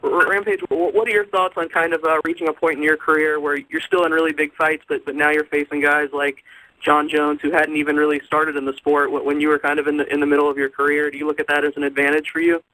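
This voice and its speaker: A man, a newspaper reporter asking polite, brisk questions on a press conference call. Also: on the high side, level tone, bright, forceful tone, no room sound, rough recording. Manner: polite, brisk, slightly stumbling. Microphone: telephone conference line.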